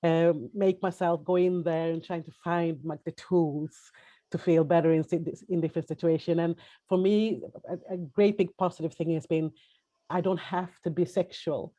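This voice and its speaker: Halting Swedish-accented woman, dark voice. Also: lowish voice, fairly even intonation. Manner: halting, hesitant, many restarts and fillers. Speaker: webinar panelist. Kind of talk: personal testimony on a webinar.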